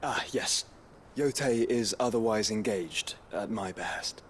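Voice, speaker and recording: Man acting a low-voiced, courtly young fantasy nobleman. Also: a little hiss or hum, lightly acted. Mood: composed. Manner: measured, courtly. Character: soft-spoken scholarly young nobleman, gravely courteous. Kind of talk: formal, archaic fantasy drama dialogue.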